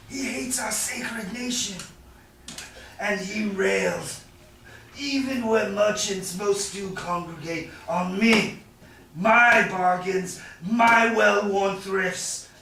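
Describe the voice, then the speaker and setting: A man acting a bitter, sly moneylender in a Shakespearean staged reading, with a hesitant, drawn-out, chuckling delivery. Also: high-pitched, bright, forceful tone, full character voice. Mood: resentful. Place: live staged reading in a reverberant room. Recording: echoey room, distant mic, faint noise.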